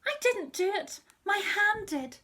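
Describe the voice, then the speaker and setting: A woman voicing a small, timid younger brother with a squeaky voice. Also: animated, unhurried, sharp tone, heavily acted. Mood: pleading.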